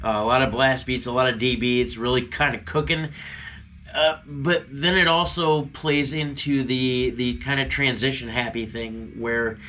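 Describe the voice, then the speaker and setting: Male co-host with a cold, sniffly; bright, tense voice, wry humor. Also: medium pitch, steady tone, normal speed, slight background noise. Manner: wry, joking. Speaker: album review co-host. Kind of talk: casual album review chat.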